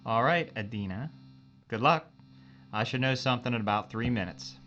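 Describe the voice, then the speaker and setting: An actor playing a brisk, upbeat crewman in a science fiction audio drama, recorded with a dull sound. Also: mid-range voice, sharp tone, a little hiss or hum, natural voice. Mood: businesslike, friendly. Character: brisk, upbeat boy-scout male crewman. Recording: dull band-limited sound.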